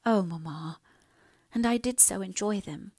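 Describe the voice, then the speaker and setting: A woman's voice: medium pitch, measured pace, a little mellow, breathy edge, dry recording. Devoted, impulsive young daughter for storybook drama played by a female reader: heartfelt, imploring delivery, close dry sound. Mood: fond, reassuring. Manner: earnest, pleading, fervent. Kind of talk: dramatised audiobook dialogue.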